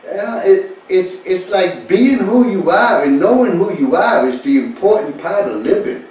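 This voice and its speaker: A man's voice: high-pitched, lively intonation, measured pace, darkish tone. A man giving an informal, rambling talk to listeners, repeating phrases for emphasis with an easy chuckle. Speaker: speaker leading a talk. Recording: echoey, distant mic, faint noise.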